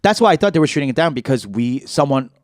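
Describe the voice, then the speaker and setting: A man from New York co-hosting a podcast in casual chat, swearing freely and asking rapid questions. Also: mid-range voice, expressive, dry recording, clean studio sound. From New York, USA. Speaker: podcast co-host.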